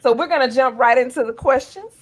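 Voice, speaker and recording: A woman's voice: high-pitched, fairly quick, a loud crowd. A woman hosting a casual talk show interview, speaking to guests in an encouraging, playful way.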